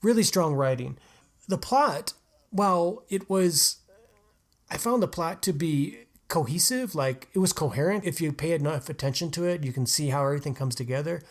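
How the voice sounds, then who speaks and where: A man's voice: on the high side, normal speed. Earnest man talking about books. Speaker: podcast co-host. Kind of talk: casual book discussion.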